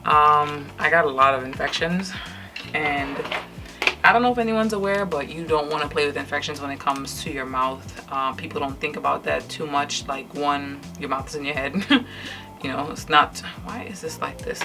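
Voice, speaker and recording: A young woman from Trinidad and Tobago talks to her camera at home in a low voice, a light Trinidadian lilt under her words. She sounds tired but candid, her talk full of fillers and restarts, while clear music plays behind her. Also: normal speed. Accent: Trinidadian English, lightly. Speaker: vlogger. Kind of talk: casual chat to camera while doing makeup. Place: indoors at home, night.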